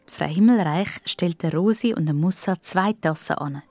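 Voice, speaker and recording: A woman's voice: fairly low pitch, normal speed, mellow, dry recording, soft background music. A woman with a Swiss German accent narrating a scripted children's story.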